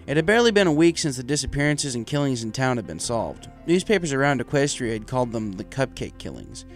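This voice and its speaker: A man's voice: medium pitch, sing-song, fast talker. Male story narrator over faint music. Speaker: story reader.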